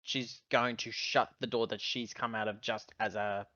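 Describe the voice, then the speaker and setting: A man with a bright, forceful voice plays in a tabletop game. He speaks casually and bluntly, talking through each move expressively. The sound is dry and a little muffled. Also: fairly low pitch, normal speed, no echo. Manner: blunt, expressive, thinking aloud. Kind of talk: casual gaming chat.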